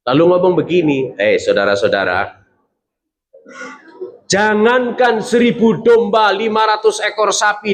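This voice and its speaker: A middle-aged man, preaching a sermon as a story, acting out the voices he quotes and putting questions to the audience. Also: on the high side.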